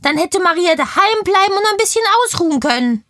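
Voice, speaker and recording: A woman's voice: extremely high pitch, lively intonation, a little fast, pushed and bright, no room sound, studio quality, heavily acted. A woman voicing a cheeky, curious kindergarten girl who chats in childlike slang in a children's audio play. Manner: chatty, childlike slangy German.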